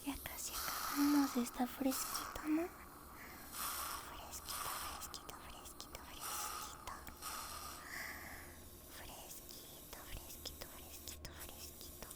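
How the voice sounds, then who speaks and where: A woman doing an ASMR roleplay as a dental hygienist, speaking softly and breathily in a tender, soothing way, close to the microphone. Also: sits high, steady tone, slow pace, long pauses. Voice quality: soft whispery, breathy. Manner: tender, soothing, uses many diminutives. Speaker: ASMR creator role-playing a dental hygienist.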